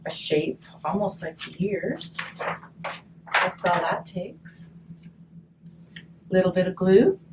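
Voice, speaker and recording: A woman's voice: on the low side, slow, long pauses. A woman giving a casual step-by-step craft demonstration, chatty and encouraging, thinking aloud as she works, recorded on a distant mic. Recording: distant mic, noisy room ambience.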